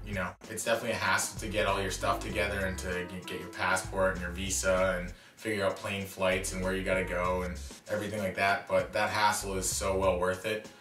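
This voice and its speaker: Young man, very deep voice, monotone, relaxed, distant echoey sound. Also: a little fast. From Underhill, Vermont. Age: young adult. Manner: relaxed and rambling, with "uh" and "um" fillers. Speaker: college student. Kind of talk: casual interview testimony. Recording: distant mic in an echoey room with faint music.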